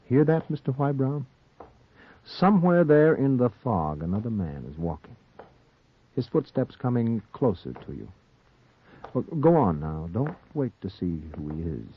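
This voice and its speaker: A man's voice: mid-range voice, big pitch swings, measured pace, slightly rough, heavily acted. An actor playing a sinister, taunting narrator of a 1940s noir radio drama, theatrically addressing a doomed man. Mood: ominous, taunting. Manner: theatrical, ominous, insistent second-person address. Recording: muffled, tinny 1940s radio recording, music behind.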